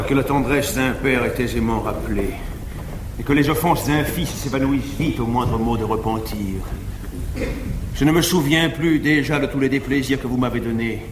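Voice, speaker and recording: A man's voice: medium pitch, natural voice. An actor playing a stern old nobleman father in a solemn, declamatory classical French stage style. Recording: echoey 1950s live theatre recording, noisy.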